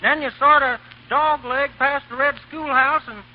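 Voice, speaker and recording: An actor playing a folksy small-town gas station attendant with a drawl, in a 1930s radio drama. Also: male voice, very high-pitched, steady tone, normal speed. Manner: drawling rural country talk, chuckling. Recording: dull old radio broadcast recording.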